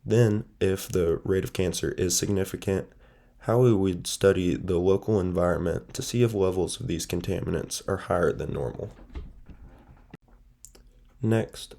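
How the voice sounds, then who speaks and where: Young male student presenter, nasal and stuffy, low gravelly voice, formal measured read. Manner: measured, formal, steady delivery. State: stuffy from a sinus infection.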